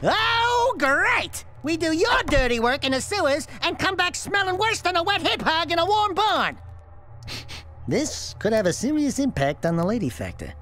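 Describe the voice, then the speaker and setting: An actor voicing a wisecracking small male otter-weasel sidekick with a high, nasal, fast-talking delivery in a video game cutscene. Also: big pitch swings, bright, forceful tone, full character voice. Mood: griping.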